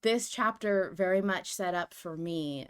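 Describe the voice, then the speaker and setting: Female podcast host, casual and animated, prone to emphatic, rambling asides. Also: fairly low pitch, unhurried, smooth. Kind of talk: casual discussion of a fiction podcast.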